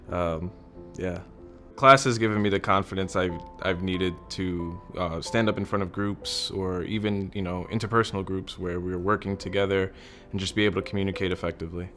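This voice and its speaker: Low-voiced New York man. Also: fairly even intonation, normal speed. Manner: thoughtful, a bit nervous. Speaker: student. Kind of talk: interview testimonial. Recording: soft music underneath. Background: background music.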